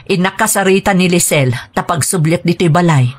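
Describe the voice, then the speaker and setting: A woman playing a careworn, pleading mother in a radio drama, with a husky voice and an Ilocano accent. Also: fairly low pitch, fairly quick, natural voice. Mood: worried, resolute. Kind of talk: Filipino radio drama acting. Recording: music bed under dialogue. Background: music.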